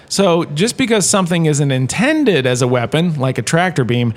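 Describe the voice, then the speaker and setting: A man playing a smug washed-up star who lectures as a history professor, conversational and wry, in a comedic audio drama. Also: on the high side. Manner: conversational, self-satisfied, wry asides.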